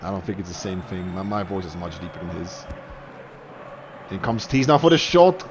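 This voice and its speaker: A man's voice: sits low, big pitch swings, moderate pace, muffled recording, heavy background noise. A young man commentating a live online match in a chatty way, voice jumping up on goals and saves. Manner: chatty, rises sharply on goals and saves, fillers and restarts.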